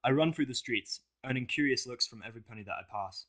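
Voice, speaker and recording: A man's voice: medium pitch, fast talker, fairly bright tone. Casting: male narrator, middle register, for scripted first-person audio fiction.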